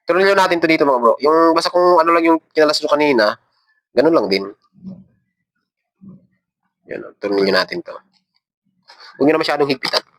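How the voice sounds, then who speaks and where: A man's voice: on the high side, animated, a little fast, long pauses, a bit bright. Filipino man giving a casual hands-on tutorial. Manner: informal, instructing step by step while handling parts. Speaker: tutorial host.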